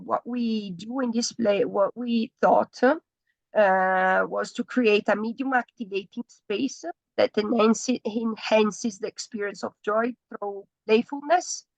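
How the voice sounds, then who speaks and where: A woman's voice: mid-range voice, dry recording, poor audio. A woman with an Italian accent presents her architecture thesis on a video call, hesitating often. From Italy. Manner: hesitant, many fillers and restarts. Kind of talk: online thesis presentation, halting.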